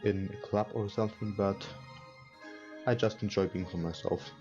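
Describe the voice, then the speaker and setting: A non-native English-speaking man hosting a let's play, reading game text aloud and commenting casually in a hesitant, halting way. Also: on the low side, steady tone. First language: not English. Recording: dull lo-fi mic, music behind. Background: game music.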